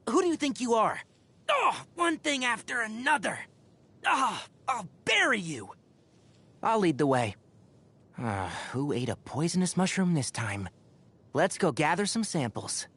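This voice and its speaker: A man's voice: high-pitched, big pitch swings, unhurried, bright, forceful tone, slightly rough, full character voice. A man playing a young, scholarly fox-eared forest ranger in a video game, speaking precisely and articulately with dry wit. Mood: battle-ready, sharp, then brisk, mildly exasperated. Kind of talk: scripted game voice lines. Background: background music.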